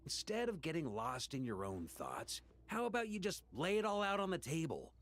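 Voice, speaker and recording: An actor playing a brash, encouraging friend in a video game, with a theatrical, exhorting manner. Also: male voice, a little high, wildly swooping pitch, moderate pace, fairly bright tone. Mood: rousing, chiding. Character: brash, encouraging male friend urging courage.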